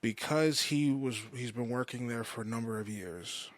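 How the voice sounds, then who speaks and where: A man in his mid twenties giving a personal podcast monologue, halting and often restating himself for emphasis. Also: medium pitch, dry recording. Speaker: podcast host. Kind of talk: personal monologue, confessional storytelling.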